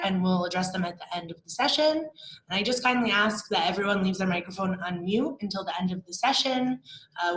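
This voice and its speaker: An upbeat young woman moderates a student panel over a video call. Her welcoming voice sits at a middle pitch and sounds roomy, with a faint hum behind it. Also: fairly bright tone. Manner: upbeat, welcoming, a few restarts. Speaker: marketing coordinator hosting a student panel.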